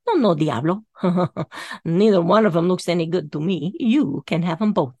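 A woman doing a brisk, lifted Saint Peter. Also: fairly low pitch, darkish tone, no room sound. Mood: dismissive. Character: Saint Peter, a bright, dismissive old saint.

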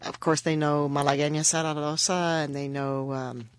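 A woman's voice: sits low, fairly even intonation, moderate pace, smooth. A woman in her fifties, from the border region, hosting a casual radio interview in an enthusiastic, chatty way. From US–Mexico border region. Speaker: radio show host.

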